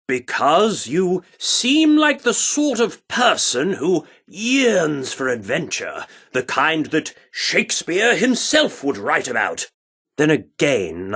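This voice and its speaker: Flamboyant, high-voiced theatre director, grandly theatrical. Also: male voice, gravelly, sharp tone, husky, exaggerated voice. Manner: theatrical, grandiloquent, archaic turns of phrase. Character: flamboyant, earnest Shakespeare-obsessed male theatre director. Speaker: voice actor as game character. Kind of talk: theatrical video game character dialogue.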